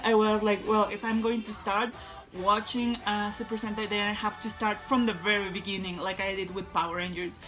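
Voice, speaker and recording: Young woman, fairly high voice, chatty vlog, faint music underneath. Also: fairly bright tone. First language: Spanish. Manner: chatty and enthusiastic, with many "like" and "uh" fillers. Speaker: vlogger. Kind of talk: casual fan vlog.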